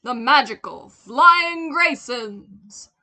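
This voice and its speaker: A woman voicing a showy circus ringmaster in an audiobook story, with a booming, drawn-out delivery. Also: expressive, pushed and bright, full character voice. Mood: grand showmanship. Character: circus ringmaster announcing an act, loud and showy.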